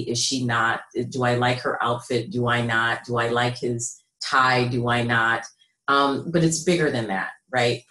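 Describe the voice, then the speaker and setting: Middle-aged American woman, rich deep register, measured interview talk, deliberate phrasing, roomy video call sound. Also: fairly bright tone. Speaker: guest, business consultant. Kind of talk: conversational interview, explaining her work. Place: small room indoors at home or office. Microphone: computer mic on a video call.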